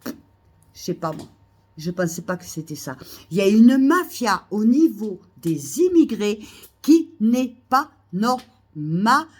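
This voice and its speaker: A woman with a head cold delivers an indignant monologue straight to her followers. She circles back over her points, stumbles into restarts, and her voice climbs into loud, exasperated outbursts. The pitch sits in a middle register. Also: big pitch swings, takes it slowly, breathy edge. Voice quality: hesitant restarts and fillers. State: has a cold, coughing. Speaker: vlogger. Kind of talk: ranting monologue to camera. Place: Almeria, Spain.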